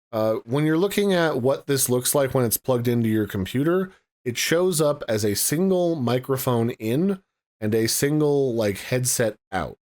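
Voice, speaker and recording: A man's voice: medium pitch, a little mellow. Casual man reviewing tech. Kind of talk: casual product review and demo.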